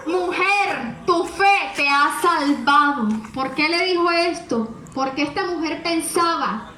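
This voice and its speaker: A woman's voice: extremely high pitch, pushed and bright, reverberant, a little hiss or hum, poor audio. A woman delivering an earnest, emphatic evangelical sermon straight to the listener, with rhetorical questions and repeated phrases. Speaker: preacher.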